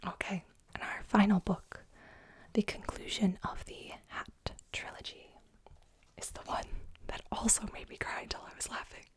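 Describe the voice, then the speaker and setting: A woman's voice: medium pitch, little pitch movement, moderate pace. A young woman whispering children's books aloud as ASMR, with amused asides. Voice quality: soft whisper. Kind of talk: ASMR storybook reading.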